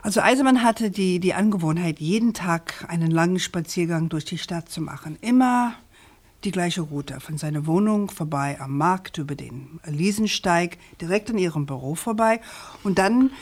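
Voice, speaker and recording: An actress playing a probing, composed woman journalist in a German radio crime drama, speaking in a measured, articulate and insistent way. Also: on the low side, a little mellow, natural voice. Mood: probing, factual. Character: persistent, probing female journalist, composed and precise.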